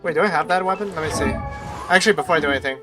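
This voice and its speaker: A man's voice: fairly high pitch, rapid-fire. A man streaming a game, chatting casually and muttering to himself in short, fragmented remarks. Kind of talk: casual livestream gameplay chat. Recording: game music behind the voice. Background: game music.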